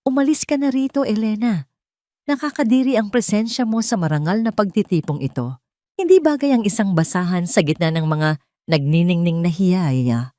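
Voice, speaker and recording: A woman's voice: medium pitch, lively intonation, moderate pace, dark tone, no room sound, clean studio sound, close to an everyday voice. A woman voicing a cruel, arrogant cheating husband who speaks with haughty contempt, in an audio drama. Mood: contemptuous.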